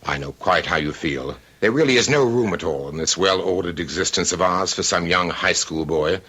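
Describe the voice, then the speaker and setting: An actor playing a fussy, aloof, wealthy middle-aged bachelor in a radio drama, speaking with pompous precision and dry wit. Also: male voice, low voice, normal speed, sharp tone, breathy edge, full character voice. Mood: resigned, dry. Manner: pompous, precise, drily witty. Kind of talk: old-time radio drama acting.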